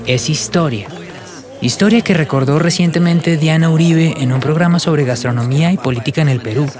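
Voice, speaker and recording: Man playing a higher-voiced polite young Colombian. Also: a little fast, crowd noise, close to an everyday voice. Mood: earnest, explaining. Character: earnest, polite young male student and waiter. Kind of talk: audiobook radio-drama acting. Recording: music behind the voice.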